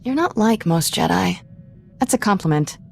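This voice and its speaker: An actress playing an irreverent, streetwise young Jedi in a video game, speaking in a wry, informal way. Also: female voice, on the low side, expressive, moderate pace, creaky, faint music behind. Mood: wry, teasing. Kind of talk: video game cutscene acting.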